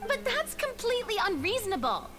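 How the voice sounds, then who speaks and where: Earnest high-voiced young adventurer, reverberant. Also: female voice, big pitch swings, fairly quick, music in the background. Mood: puzzled. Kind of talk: video game voice acting.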